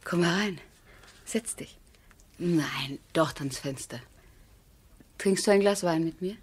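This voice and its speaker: Thoughtful aristocratic wife, guarded and intimate, in a low, breathy voice for period radio drama. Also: female voice, takes it slowly. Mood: inviting, playful. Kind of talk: 1970s German radio drama acting.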